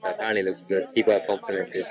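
A man's voice: low-pitched, flat delivery, very fast. A young man in a graduation gown, chatting in a dry, mock-serious way on a coach bus, picked up by a friend's camera. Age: young adult. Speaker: fellow graduate. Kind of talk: casual chat. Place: inside a crowded coach bus. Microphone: friend's camera close by. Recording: chatter of a crowd behind.

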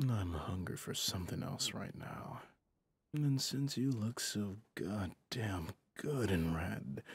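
A man voicing a possessive, smug mafia boss and smitten lover in an intimate ASMR roleplay, teasing and dominant, with a gravelly, breathy, often half-whispered voice. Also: low voice, dry recording, exaggerated voice. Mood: teasing, seductive. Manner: slow, teasing, dominant, pet names.

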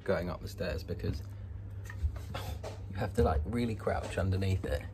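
A man's voice: deep voice, measured pace. A British man chatting informally and enthusiastically as he gives a casual walk-through narration indoors. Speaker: vlogger giving a house tour. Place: inside an old house, walking between rooms. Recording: noisy indoor handheld home recording.

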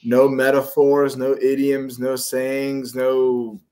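A man's voice: mid-range voice, completely flat delivery, takes it slowly, darkish tone. Blunt, swearing man on a headset. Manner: blunt, swears, acts out short texting examples. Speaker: guest. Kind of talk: casual chat on a video call.

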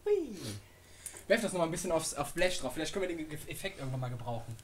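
A man's voice: fairly high pitch, big pitch swings, fast talker, a little hiss or hum. A man performing improvised comic talk and mock announcements for a radio parody.

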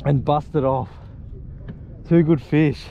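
A man in his forties with an Australian accent, chatting excitedly to viewers while fishing from a kayak on a bay. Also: fairly high pitch, slow pace, gravelly, lo-fi sound. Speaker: fishing vlogger. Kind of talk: casual running commentary while fishing. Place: kayak on open bay outdoors. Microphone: action camera mounted on kayak. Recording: wind and water noise outdoors.

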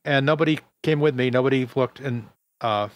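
A man interviewing a guest, with a loud voice, rambling casually with many fillers. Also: medium pitch, brisk.

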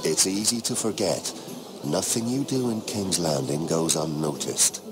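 A man playing a chatty, teasing nobleman who flatters freely in a dramatic video game. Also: sits low, unhurried, noisy background. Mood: smoothly sociable.

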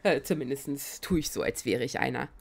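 A woman streaming a video game, chatting in a casual, excitable and giggly way. She has a cold that makes her voice slightly raspy. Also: extremely low pitch, brisk. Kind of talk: casual let's-play commentary.

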